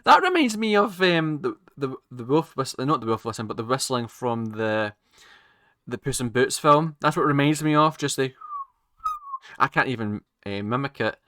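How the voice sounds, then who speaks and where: A man hosts a casual reaction video in a bright, forceful voice. He talks haltingly, rambling and doubling back on his own phrases. The sound is dry, with hardly any room in it. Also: medium pitch, lively intonation, dry recording. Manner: halting, rambling, circles back to repeat himself. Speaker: reaction channel host.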